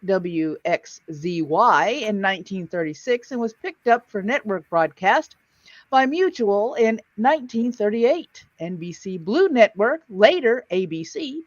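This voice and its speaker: Woman host with lively, swinging pitch. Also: measured pace, no room sound, dull audio. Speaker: host of an old-time radio show listening stream. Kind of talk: reading show introductions, casual commentary.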